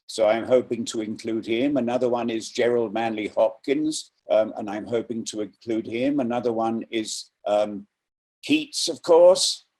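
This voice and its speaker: A man with a mid-pitched voice speaks very slowly and reflectively. He halts often to find his words, in the informal way of someone presenting over a video call. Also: darkish tone, breathy edge. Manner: slow, reflective, halting with pauses. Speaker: poetry reader introducing an event.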